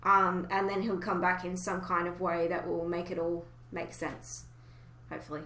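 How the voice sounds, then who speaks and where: A woman's voice: fairly low pitch, level tone, normal speed, pushed and bright, a little hiss or hum. A woman hosting a podcast in a casual, chatty style. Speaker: podcast host. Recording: roomy, echoey home recording.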